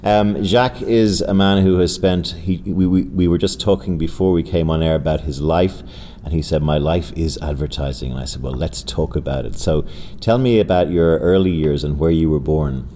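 Deep-voiced Irish podcast host. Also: male voice, steady tone, moderate pace, few pauses, dark tone. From Ireland. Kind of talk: conversational interview. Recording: clear background noise.

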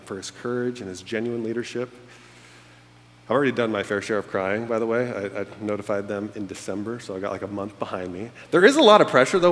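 A man in his thirties, born in Egypt and raised in America, giving a candid, self-deprecating farewell talk to a congregation. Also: medium pitch. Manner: candid, self-deprecating storytelling with frequent fillers. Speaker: church staff member giving a farewell speech. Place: church auditorium on stage. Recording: long reverb of a large hall. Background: audience laughter.

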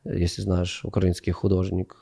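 A man's voice: sits low, monotone, moderate pace, vocal fry, mellow, slightly rough. A man being interviewed about his music past, talking casually with many fillers and restarts. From Ukraine. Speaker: guest musician.

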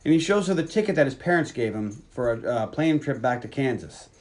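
A man's voice: mid-range voice, animated, a little fast. A man with a Virginia accent, co-hosting a podcast and casually retelling a movie plot with jokes. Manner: chatty, jokey, drops into voices for characters. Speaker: podcast co-host.

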